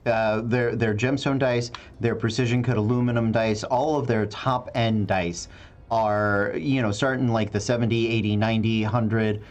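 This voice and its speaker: A man hosting a tabletop gaming stream as game master, speaking casually and haltingly, repeating words. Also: medium pitch. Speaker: game master and show host. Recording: clear background noise.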